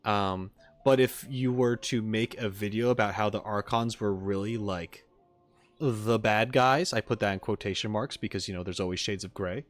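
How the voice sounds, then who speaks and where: A man's voice: fairly low pitch, fairly even intonation, normal speed. A man chatting casually on a livestream as a streamer answering viewers, rambling and speculating as he goes. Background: music in the background.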